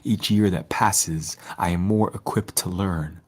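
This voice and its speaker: A man with a low, husky voice reads spoken-word poetry. His delivery is solemn and measured, with a lyrical flow. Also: darkish tone. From Detroit, United States. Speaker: poet.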